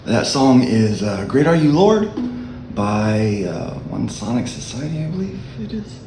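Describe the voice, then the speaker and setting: Low-voiced man teaching dulcimer. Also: sing-song, echoey room, background noise. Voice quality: low speaking voice. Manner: unhurried, halting explanation. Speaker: dulcimer teacher and worship leader. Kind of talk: casual music tutorial.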